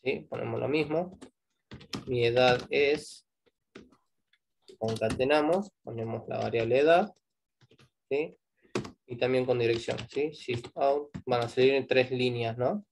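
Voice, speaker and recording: A man's voice: mid-range voice, measured pace, gravelly, long pauses, a little hiss or hum. A man with an Argentine Spanish accent teaching a live online programming class, explaining step by step and checking in with "¿sí?" after each point.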